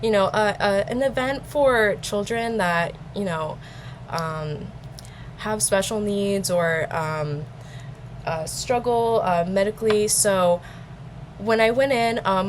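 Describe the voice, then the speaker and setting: Young woman, casual and nervous, hesitant rambling delivery, middle-pitched, slightly noisy recording. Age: young adult. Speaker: vlogger and interviewee. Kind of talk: casual chat.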